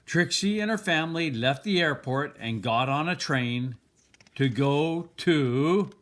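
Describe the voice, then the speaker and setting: An older man reading a children's picture book aloud, expressively and deliberately, with dramatic pauses. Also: a little high, animated, fairly bright tone. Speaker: storybook reader.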